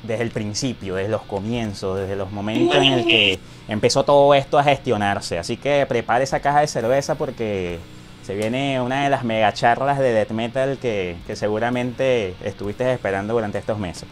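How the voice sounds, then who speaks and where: A man's voice: lowish voice, moderate pace, a little hiss or hum. A man hosting a casual interview, talking at length and leaning on filler words. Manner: rambling, leans on filler words. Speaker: interviewer, host.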